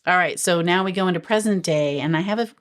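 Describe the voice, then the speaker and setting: Low-voiced chatty woman co-host. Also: fast talker. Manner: chatty, laughs often, playful asides. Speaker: podcast co-host. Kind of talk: casual chat about a TV movie.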